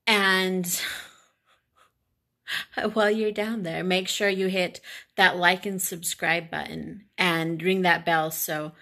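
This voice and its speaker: A woman's voice: lowish voice, level tone, slow pace, gravelly, sharp tone. A young woman chatting casually to the camera as a vlogger, in an informal, rambling way. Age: young adult. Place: small living room, indoors. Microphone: phone at arm's length.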